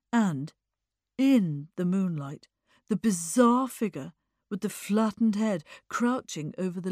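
Anxious young male aesthete, read by a woman: precious, plaintive, sorrowful, words drawn out slowly, literary audiobook, dry sound. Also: medium pitch, dark tone, no room sound.

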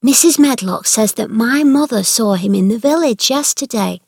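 A woman's voice: a little high, animated, normal speed, a little mellow, no echo, clean studio sound, voice pushed up. A woman voicing a friendly young maid passing on news eagerly.

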